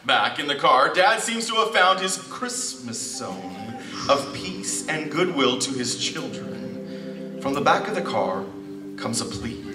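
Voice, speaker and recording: A man's voice: mid-range voice, big pitch swings, takes it slowly. A man playing a theatrical narrator in a live old-time radio show. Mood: mock dramatic. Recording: echoey hall, distant, music behind.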